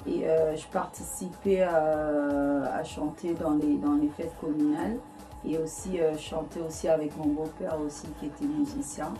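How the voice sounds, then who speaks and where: Woman, low voice, Caribbean English accent, hesitant, lots of fillers, music playing behind. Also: fairly even intonation, normal speed, warm tone. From Guyana. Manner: hesitant, many fillers and restarts. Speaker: singer-songwriter guest. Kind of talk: studio interview.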